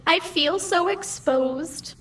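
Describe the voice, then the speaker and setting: A woman's voice: very high-pitched, slow, fairly bright tone, heavily acted. A woman playing a cheeky, literal-minded computer AI in an audio drama, with formal, precise phrasing. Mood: wry.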